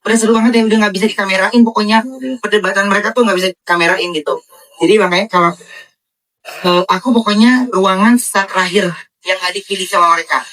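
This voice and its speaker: A woman's voice: medium pitch, steady tone, fast talker, fairly bright tone, poor audio. A woman chatting casually in an interview clip, rambling from one point to the next.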